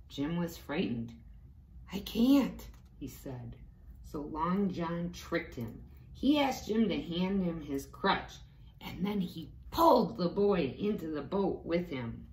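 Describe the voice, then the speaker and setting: A woman's voice: low-pitched, expressive, slow. A woman reading a storybook aloud to young children, expressively, with little explanatory asides. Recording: echoey room with faint background noise.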